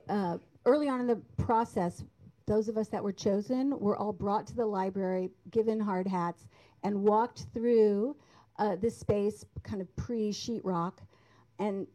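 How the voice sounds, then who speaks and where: A woman on an artists' panel, explaining in a measured way. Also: medium pitch, warm tone, poor audio. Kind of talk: panel discussion answer.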